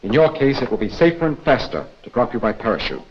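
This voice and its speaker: A composed American intelligence instructor in a wartime training film. His voice is husky and his delivery measured. The sound is dull and muffled, like an old film print. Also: medium pitch, sing-song. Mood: matter-of-fact. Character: composed male intelligence instructor briefing an agent. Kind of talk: 1940s training-film acting.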